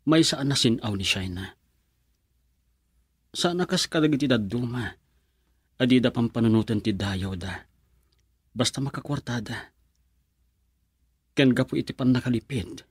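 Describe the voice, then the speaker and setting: A man's voice: mid-range voice, animated, measured pace, long pauses, slightly rough, no echo, studio quality. A man playing an earnest young lover, smitten with a club girl, in a radio drama.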